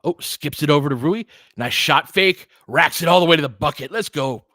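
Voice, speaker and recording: A man's voice: a little high, normal speed, sharp tone, dry recording, clean studio sound. A man doing basketball play-by-play commentary in clipped phrases, with informal asides and casual analysis.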